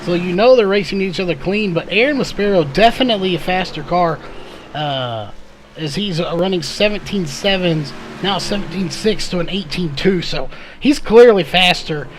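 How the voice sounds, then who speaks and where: A man doing live race commentary in a conversational, analytical way, his voice a little hoarse. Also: high voice, normal speed, a little hiss or hum. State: slightly hoarse, losing his voice. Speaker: sim or short-track race play-by-play commentator.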